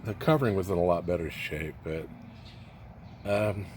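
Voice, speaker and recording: A man casually showing off an old radio phonograph he restored, talking in a low-key, hesitant way that often trails off mid-sentence. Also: normal speed, darkish tone. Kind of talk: casual show-and-tell demo. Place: near the radio, away from the house. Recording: noisy amateur recording.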